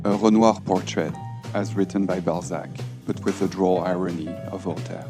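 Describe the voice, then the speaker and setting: A pompous man of culture, played by an actor, pronounces in a droll, deep voice. Music is clearly heard beneath him in this audio drama. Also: fairly even intonation, moderate pace, natural voice. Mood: pompous admiration. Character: pompous, cultured male critic.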